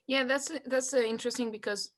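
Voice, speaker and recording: A woman from France co-hosting a casual panel chat over a video call, talking in an expressive, animated way. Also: on the high side, a little fast. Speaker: co-host.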